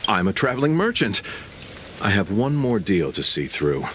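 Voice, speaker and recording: A man's voice: lowish voice, sing-song, normal speed, fairly bright tone, a little hiss or hum. An actor playing a worldly male traveling merchant in a video game, breezy and assertive. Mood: breezy, departing.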